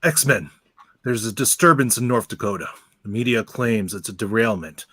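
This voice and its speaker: A man's voice: lowish voice, animated, normal speed, fairly bright tone, slightly rough, no room sound. A man acting a commanding, businesslike superhero team leader briefing in a radio play. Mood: urgent, businesslike.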